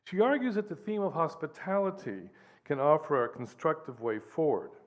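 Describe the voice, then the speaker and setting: A man in his sixties, raised between the United States and Guatemala, reading an academic paper at a podium in a measured way. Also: a little high, lively intonation. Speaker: lecturer.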